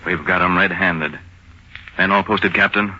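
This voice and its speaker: An actor playing a stern, indignant police chief, gruff and emphatic, in an old-time radio crime drama. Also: male voice, low voice, steady tone, measured pace. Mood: grim. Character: stern, indignant big-city police chief, adult man. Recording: muffled, noisy vintage radio recording.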